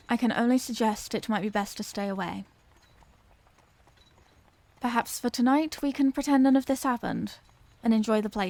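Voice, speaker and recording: A woman's voice: a little high, a little mellow, no echo, close to an everyday voice. A woman voicing an anguished, tender Victorian lady vampire in an intimate audio roleplay, speaking in formal, earnest phrases. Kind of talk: scripted audio roleplay, intimate dramatic monologue.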